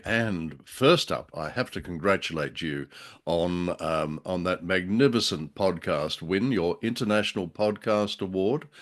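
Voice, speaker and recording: A man co-hosting a podcast in casual chat. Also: low-pitched. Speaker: podcast co-host.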